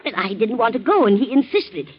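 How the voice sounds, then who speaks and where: An actress playing an anxious, loyal female servant in a 1940s radio drama, husky and hesitant, on a muffled old recording. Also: a little high, very animated, brisk. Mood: frightened, upset. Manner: hesitant, deferential.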